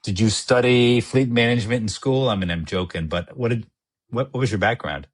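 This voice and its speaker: A man's voice: medium pitch, fairly quick. A man hosting a podcast, upbeat, asking questions and joking now and then. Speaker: podcast host. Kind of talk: casual podcast interview.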